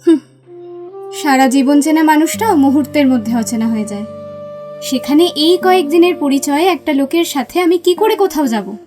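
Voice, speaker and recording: A woman's voice: high-pitched, moderate pace, mellow, faint music behind, close to an everyday voice. A woman playing a guarded, irritated young woman resisting a pushy suitor in an audio drama. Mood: upset, defensive.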